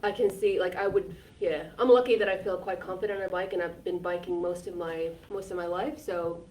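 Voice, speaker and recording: A woman's voice: fairly low pitch, fairly even intonation, fairly quick, darkish tone. Chatty woman, mic set back. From Finland. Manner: earnest, chatty, with frequent "like" and restarts. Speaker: co-host. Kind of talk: casual live-stream chat. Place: community repair centre. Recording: distant mic with faint background noise.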